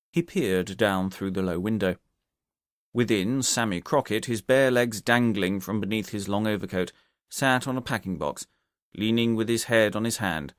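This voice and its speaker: A man reading a detective story aloud as an audiobook narrator, with expressive scripted delivery. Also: lowish voice, no room sound, clean studio sound.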